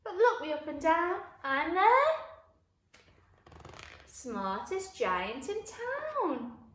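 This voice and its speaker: A woman's voice: very high voice, sing-song, extremely slow, a bit bright, pitched above the performer's own voice, full character voice. A woman voicing a kindly, cheerful, generous giant in a children's storybook, hearty, encouraging and sing-song. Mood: proud, sing-song. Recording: roomy echo, faint background noise.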